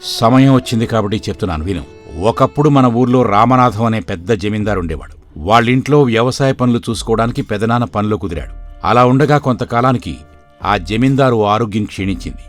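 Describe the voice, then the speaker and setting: A man's voice: fairly low pitch, brisk, soft background music, lightly acted. A man playing a poor older father in a village moral story, explaining things in a resigned way. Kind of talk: dramatized moral story for video.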